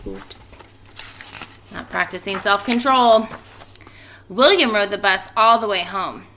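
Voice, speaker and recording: A woman speaking as a teacher doing a storybook read-aloud for children, expressive and emphatic in her delivery. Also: medium pitch, slow pace, a little hiss or hum, poor audio. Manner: animated, expressive delivery with emphatic stretched words.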